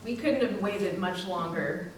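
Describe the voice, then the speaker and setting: A woman's voice: fairly low pitch, measured pace, lightly acted. A woman playing an ailing young working woman in a readers theater narration. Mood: grateful. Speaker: community theatre performer. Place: library readers theater performance, indoors. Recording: very echoey hall, distant, faint hiss.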